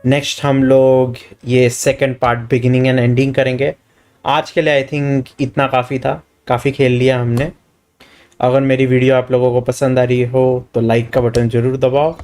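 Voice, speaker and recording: A man's voice: mid-range voice, flat delivery, racing, darkish tone. A man giving casual, informal game-stream commentary as host, mixing in English. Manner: informal, mixes English into speech. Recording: faint game music behind. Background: faint game music.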